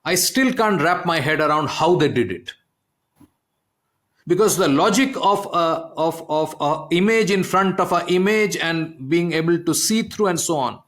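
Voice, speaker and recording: A middle-aged man, a podcast host, telling a story in an animated way and often asking "okay?". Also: on the high side, a little slow. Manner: animated, repeats "I mean" and "okay?". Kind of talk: conversational storytelling.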